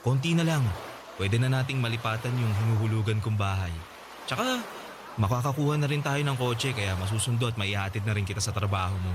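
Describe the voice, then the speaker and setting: A man's voice: mid-range voice, a little fast, lightly acted. A man acting a hardworking boyfriend in a radio drama, reassuring and insistent. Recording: audible background hiss.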